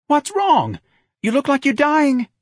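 Man voicing a fussing, husky working-class mother. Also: a little high, big pitch swings, normal speed, a bit bright, no room sound, studio quality, pitched above the performer's own voice, exaggerated voice. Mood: alarmed, doting. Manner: folksy ungrammatical dialect speech. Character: middle-aged working-class mother, fussing, doting, plaintive. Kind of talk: audiobook character voice.

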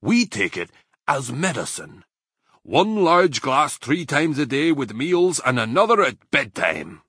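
A hearty, greedy badger gushes in a slow, lively voice with a husky edge, acted by the narrator. His delivery swoops up and down. A storybook companion. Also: medium pitch, no room sound, clean studio sound, pitched above the performer's own voice, exaggerated voice. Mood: gushing. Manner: hearty, gushing.

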